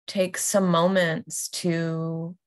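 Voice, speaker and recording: A woman introducing a guided meditation as a yoga nidra teacher, speaking gently and deliberately with long pauses. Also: fairly low pitch, little pitch movement.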